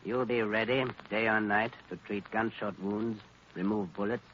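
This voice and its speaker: Deep, calculating crime boss played by a man. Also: steady tone, normal speed, a bit bright, lightly acted. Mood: smooth persuasion. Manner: smooth-talking, threatening, controlled. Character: cold, calculating male crime boss and crooked lawyer. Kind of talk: 1940s radio acting. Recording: muffled, hissy old radio recording.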